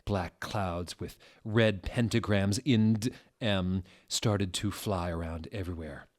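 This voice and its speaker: A man narrating a story as a comic dramatic reading, with a theatrical, deliberate, mock-serious delivery. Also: low-pitched, a little mellow.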